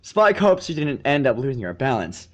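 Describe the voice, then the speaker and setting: A man's voice: medium pitch, big pitch swings, normal speed, highs cut off. A man reading a story aloud as an amateur narrator, sometimes stumbling and correcting himself. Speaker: fan fiction reader.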